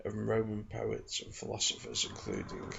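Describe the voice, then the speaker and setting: Man, soft ASMR delivery, low-pitched, heavy vocal fry, slightly muffled recording. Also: big pitch swings, normal speed, noisy background. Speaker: ASMR video creator and book reader. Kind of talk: soft-spoken ASMR, informal chat and book reading.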